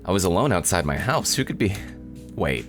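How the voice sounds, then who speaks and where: A man's voice: low voice, very animated, brisk, soft background music. A man voicing an angsty, self-pitying teenage schoolboy, the overwrought hero of a visual novel. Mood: bewildered, alarmed. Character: angsty, melodramatic teenage anime schoolboy protagonist. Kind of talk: visual novel read-aloud.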